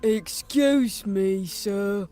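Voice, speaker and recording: A woman's voice: mid-range voice, very slow, mellow, faint music behind. A woman voicing a meek, polite petitioner with a courteous, deferential manner. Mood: pleading.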